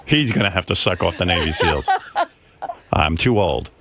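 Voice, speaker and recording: A man's voice: sits low, animated, normal speed, creaky, over a noisy crowd. A man hosting a radio talk show, ranting emphatically with plenty of profanity. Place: radio studio. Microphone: studio microphone.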